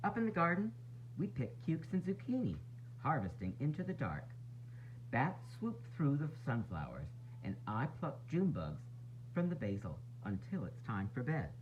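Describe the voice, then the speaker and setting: A man reading a children's picture book aloud in an expressive, dramatic, sing-song way, with varied emphasis. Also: mid-range voice, moderate pace. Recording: dull lo-fi sound, faint noise and hum.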